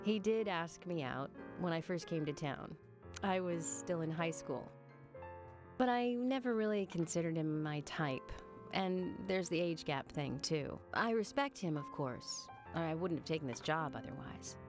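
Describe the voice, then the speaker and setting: Low-voiced, poised young policewoman. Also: lively intonation, unhurried. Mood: reflective. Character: poised, candid young small-town policewoman. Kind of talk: video game cutscene acting. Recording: game dialogue under loud music.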